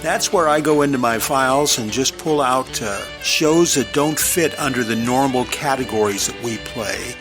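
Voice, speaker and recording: A man's voice: medium pitch, moderate pace, few pauses, music in the background. A man speaking casually as a podcast host, introducing what is coming up in an informal way.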